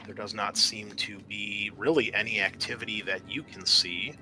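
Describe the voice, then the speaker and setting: A man working as game master, narrating a tabletop roleplaying scenario with measured, storyteller-like scene-setting. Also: on the low side, steady tone, bright, forceful tone, faint music behind, poor audio.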